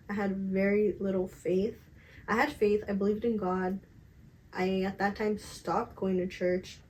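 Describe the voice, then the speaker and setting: A young woman with a slightly raspy, medium-pitched voice talks as a vlogger. Her delivery is casual and confessional, candid and slangy, with emphatic stress on her points. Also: a little mellow, poor audio. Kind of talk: casual confessional chat to camera.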